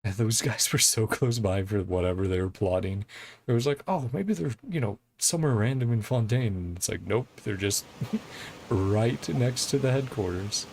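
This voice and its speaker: A woman streaming a game and chatting casually as she plays, often laughing and filling pauses with fillers. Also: a bass voice, darkish tone, slightly rough, no room sound. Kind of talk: casual gameplay commentary.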